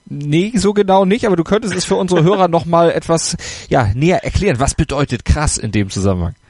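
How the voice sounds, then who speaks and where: A man hosting a sports podcast, moderating smoothly with small jokes. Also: on the high side, animated, quick pace, darkish tone, no room sound. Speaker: podcast host.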